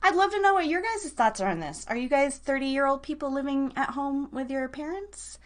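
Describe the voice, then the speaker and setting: Casting: female live radio and podcast host, higher-pitched voice, chatty, slangy, loose and rambling delivery. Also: expressive, moderate pace. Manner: informal, slangy, rambling, addresses listeners directly. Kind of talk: casual, chatty live show talk.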